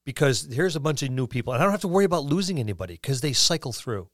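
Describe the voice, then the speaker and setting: A man's voice: medium pitch, very animated, rapid-fire, fairly bright tone, no room sound, clean studio sound. A man co-hosting a gaming podcast, talking informally and agreeably and acting out little imagined exchanges. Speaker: podcast co-host. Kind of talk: casual chat about tabletop gaming.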